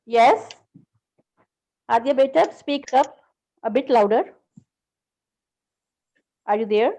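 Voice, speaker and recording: Woman English teacher, slightly high voice, patient and corrective, online lesson. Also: slow, mellow, muffled recording. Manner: patient, corrects grammar, calls students "beta". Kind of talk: online class. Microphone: video call.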